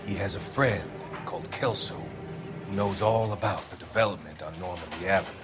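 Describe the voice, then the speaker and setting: An actor playing a cold, scheming doctor with a measured, formal, condescending manner in a video game cutscene. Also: male voice, deep voice, over music, natural voice. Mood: cold, controlled. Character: smooth, cold, scheming male doctor hiding menace.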